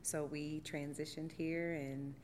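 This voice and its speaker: Low-voiced woman in her forties, reflective. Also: slow pace, big-room sound, a little hiss or hum. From St. Louis, Missouri, USA. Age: 40s. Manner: reflective, steady, with frequent ums. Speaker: oral history interviewee. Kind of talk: oral history interview. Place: theater venue.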